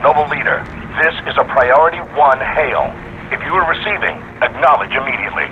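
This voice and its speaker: A man's voice: mid-range voice, expressive, a little fast. A man playing an urgent, formal colonel over military radio in a video game, with a commanding delivery. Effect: military radio transmission. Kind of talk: dramatic video game cutscene acting. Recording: noisy, dull military radio.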